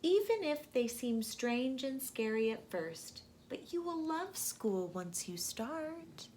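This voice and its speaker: A woman voicing a loving, reassuring mother raccoon in a children's storybook, speaking gently and soothingly. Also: high-pitched, sing-song, slow, background noise, exaggerated voice. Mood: gentle, reassuring. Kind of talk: children's storybook read-aloud.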